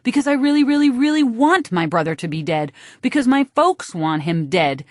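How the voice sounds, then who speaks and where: Woman acting a stagy, bitter younger sister, raised pitch. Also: very animated, normal speed, no echo, clean studio sound. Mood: resentful, desperate. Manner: stagy, overwrought complaining. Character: bitter, attention-hungry younger sister playing to crowd.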